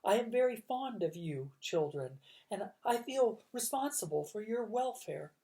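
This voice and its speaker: A woman voicing a kind but firm older female judge with a steady, indignant yet warm manner. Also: mid-range voice, unhurried, voice pushed up. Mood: fond.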